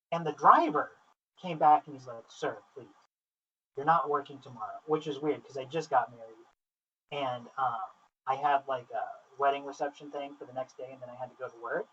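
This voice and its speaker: A man interviewing casually and telling stories in an informal, sweary, excitable way, on a flawed low-quality recording. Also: normal speed, slight background noise. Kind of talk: casual chat, animated anecdotes. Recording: distant, muffled lo-fi, technical faults.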